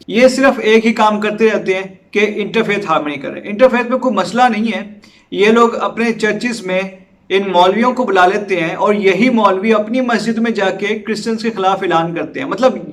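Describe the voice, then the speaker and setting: A man's voice: high-pitched, a bit bright. A Pakistani man presenting news commentary in an earnest, urgent manner, dropping in English words and appealing directly to viewers. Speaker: presenter of a news and discussion channel.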